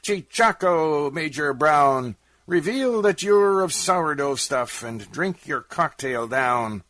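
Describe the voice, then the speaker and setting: A man voicing a rowdy, jeering frontier roughneck who bellows his taunts in a dramatic verse recitation. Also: fairly high pitch, animated, slow pace, fairly bright tone, no echo, voice pushed up.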